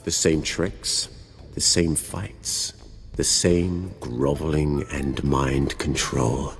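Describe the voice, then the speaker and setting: A very low-pitched, ancient Sith lord from a video game, proud and grim. He speaks formally and solemnly, with cold disdain, over background music. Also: steady tone, extremely slow, vocal fry, full character voice. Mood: reflective. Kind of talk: dramatic video game voice acting.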